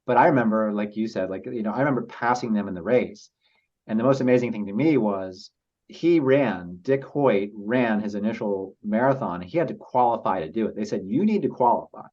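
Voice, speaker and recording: A man speaking as a podcast guest, telling personal stories in a halting, reflective and earnest way. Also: fairly low pitch, darkish tone. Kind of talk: casual interview storytelling. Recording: slightly dull lo-fi audio.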